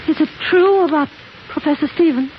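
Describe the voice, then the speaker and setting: Woman playing insistent young sweetheart, high voice, urgent, pleading, 1940s radio drama, muffled noisy recording. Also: brisk, lightly acted. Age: 20s. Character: anxious, insistent young sweetheart in her early twenties.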